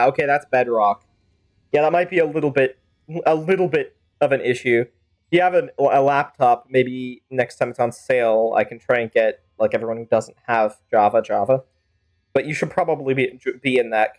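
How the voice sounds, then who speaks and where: A man livestreaming a game, talking in a casual, rambling, chatty way and slipping into playful role-play. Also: fairly high pitch. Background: game music.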